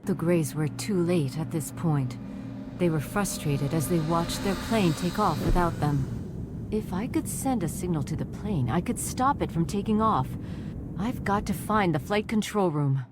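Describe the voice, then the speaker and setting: An actress playing an earnest, determined detective heroine in a video game, thinking aloud. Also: female voice, lowish voice, unhurried, music in the background, close to an everyday voice. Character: determined adult female detective heroine, reflective. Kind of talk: video game protagonist monologue.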